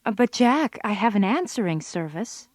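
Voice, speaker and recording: A woman's voice: mid-range voice, big pitch swings, normal speed, a little mellow. An actress playing a sardonic, exasperated female office computer worker in a comic radio drama, given to wry muttered asides. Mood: reproachful.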